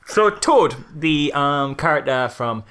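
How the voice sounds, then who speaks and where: A man's voice: fairly high pitch, animated, slow, a bit bright. A man co-hosting a casual film critique chat, speaking in an incredulous, emphatic, mocking way. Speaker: podcast co-host.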